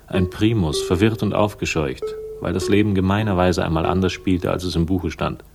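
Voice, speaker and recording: An actor playing a world-weary, sardonic investigator in a 1960s German radio crime drama, speaking in a dry, laconic, cynical way. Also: male voice, deep voice, steady tone, moderate pace, vocal fry, music in the background. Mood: wry, disdainful. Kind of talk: 1960s radio noir narration and dialogue.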